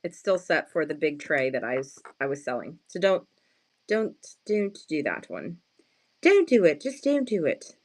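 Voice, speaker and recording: A woman with a slightly hoarse, just-woken morning voice, chatting casually and playfully as she sells handmade crafts on a livestream, often addressing viewers and poking fun at herself. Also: low voice, very animated, moderate pace, darkish tone. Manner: self-deprecating, playful slangy wording. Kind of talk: casual live sales chat with viewers.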